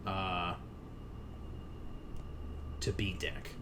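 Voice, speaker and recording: A man's voice: sits low, little pitch movement, very slow. A man running a tabletop game as game master, narrating in a loose, conversational and casually profane way. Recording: noticeable background noise.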